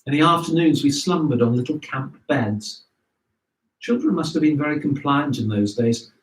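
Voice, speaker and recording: A man reading a scripted memoir aloud in a measured storytelling way. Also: fairly high pitch, animated. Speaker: reader of memoirs. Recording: roomy echo, distant microphone.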